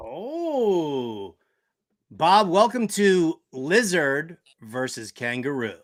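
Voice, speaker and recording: A man's voice: fairly high pitch, big pitch swings, extremely slow, background noise. A man hosting a sports talk show with slangy, jokey, informal banter and interview questions. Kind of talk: casual comedic banter and interviewing.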